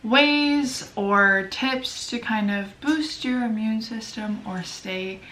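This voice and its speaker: A woman's voice: a little high, takes it slowly, pushed and bright. Young woman, stuffy nose, chatty vlogger, echoey room, mic a bit distant. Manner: chatty, self-deprecating, many fillers. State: congested, sniffly. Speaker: lifestyle vlogger. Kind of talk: casual chat to camera.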